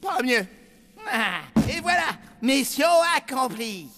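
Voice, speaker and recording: A man voicing a boastful, dim school bully monster boy in a cartoon, with a rough, creaky voice and sneering slang. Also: very high voice, sing-song, takes it slowly, pushed and bright, full character voice. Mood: smug. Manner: slangy, sneering, clumsy grammar.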